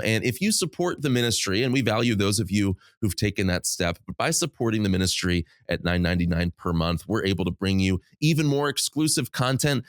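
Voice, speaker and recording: A male podcast host, getting over a cold that drops his voice lower than usual, giving an upbeat scripted welcome and interview intro. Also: dry recording, clean studio sound. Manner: upbeat, promotional, warmly welcoming.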